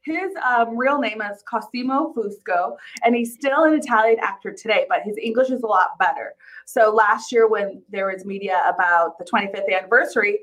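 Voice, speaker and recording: A woman co-hosting a casual recap chat about a TV show, telling things in an animated, giggly way. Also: mid-range voice, normal speed, rough recording. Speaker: podcast co-host.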